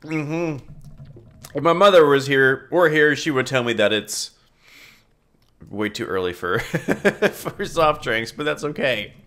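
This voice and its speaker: A man's voice: mid-range voice, unhurried, pauses often, a bit bright. A young man casually commenting on his game, stuffed-up and nasal, rambling and correcting himself with small giggles. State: congested, nasal from a stuffy nose. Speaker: gaming YouTuber.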